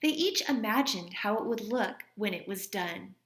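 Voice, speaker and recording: A woman reading a children's storybook aloud in an expressive manner, like a teacher. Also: mid-range voice, moderate pace, echoey room.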